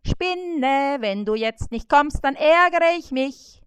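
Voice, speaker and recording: Woman doing a high, shrill, whiny storybook fly, male, nagging and melodramatic. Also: expressive, slow, dry recording. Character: whiny, demanding male fly caught in a web.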